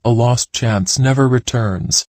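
Man reading phrases, measured and dry. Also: mid-range voice, darkish tone, no room sound. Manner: clear, measured, one sentence at a time. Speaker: phrase reader.

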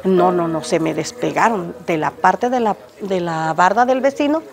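An older woman with a Mexican Spanish accent, answering a reporter's questions outdoors on the street in front of her home. She speaks in a hesitant, halting way with frequent restarts. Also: lowish voice, gravelly. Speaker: interviewed resident. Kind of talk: street interview. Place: outdoors on a street by a house gate.

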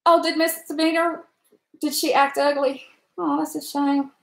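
A woman's voice: high-pitched, little pitch movement, normal speed, vocal fry, fairly bright tone. A woman in her forties with an Appalachian Virginia accent, chatting folksily with her live viewers while she cooks at the stove in her home kitchen. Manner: folksy, rambling, talks to chat viewers. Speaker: cooking live streamer. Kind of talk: chatty live-stream cooking talk. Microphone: webcam at stove. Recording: echoey kitchen.

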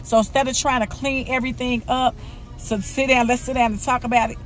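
A woman's voice: on the high side, flat delivery, fairly quick. A woman in her forties talking candidly to camera in a personal vlog, earnest and confessional, often repeating herself. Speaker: vlogger.

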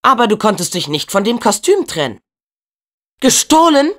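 A man's voice: high-pitched, sing-song, moderate pace, fairly bright tone. A young man voicing a plucky, kind-hearted teenage boy hero in a video game dub, earnest and spirited, with casual youthful phrasing. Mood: brisk.

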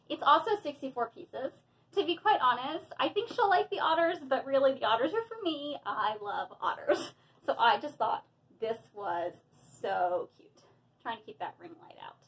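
A woman in her thirties chatting casually and excitedly while unboxing things in a small room at home, with frequent fillers. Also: high-pitched, a little hiss or hum, low-quality recording. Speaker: hobby vlogger.

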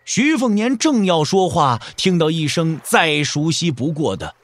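A man's voice: on the high side, animated, a little slow. A man narrating a wuxia audio drama in a dramatic, scripted storytelling style.

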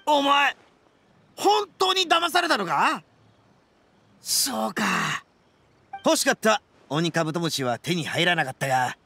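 An actor voicing a brash, boastful young male oni gang boss in a game, with rough, swaggering, melodramatic speech. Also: sits high, bright, forceful tone, no echo, full character voice. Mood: disbelieving, then smug. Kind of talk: Japanese game voice acting.

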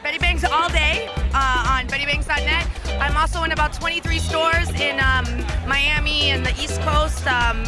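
A woman's voice: sits high, moderate pace, hardly any pauses. A young woman, a swimsuit designer, talking up her brand with brash confidence outdoors at a party. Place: outdoor party at an airport hangar at night. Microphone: handheld mic held by the interviewer. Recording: loud party music behind.

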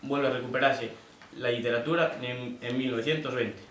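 A man's voice: mid-range voice, steady tone, fairly bright tone, slight background noise. Teenage boy, roomy distant classroom sound. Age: teens. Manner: halting, self-correcting. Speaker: student presenter. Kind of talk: school presentation.

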